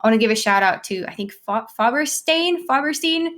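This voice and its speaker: A woman's voice: fairly high pitch, lively intonation, brisk, a bit bright. A woman whose first language is Swedish, a tournament caster, chatting cheerfully and enthusiastically. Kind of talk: casual panel chat.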